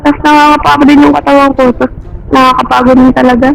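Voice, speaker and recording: A woman with a very high voice chats casually as a passenger on a motorcycle taxi. Her tone is apologetic, and it comes through a helmet intercom with plenty of road noise. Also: little pitch movement, fast, gravelly, rough recording. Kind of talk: casual chat. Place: outdoors on a moving motorcycle taxi. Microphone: helmet intercom microphone.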